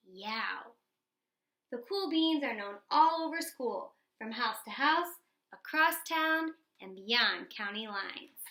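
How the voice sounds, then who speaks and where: A woman reading a children's picture book aloud like a teacher, with animated, dramatic emphasis and pauses. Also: on the high side. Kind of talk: expressive scripted storybook narration for children. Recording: echoey, roomy sound.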